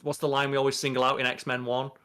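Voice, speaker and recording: A man's voice: medium pitch, level tone, fast, fairly bright tone. Opinionated, sweary man in his thirties. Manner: opinionated, sweary, mock-quoting. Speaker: podcast co-host. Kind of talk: casual chat. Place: home, at a desk indoors.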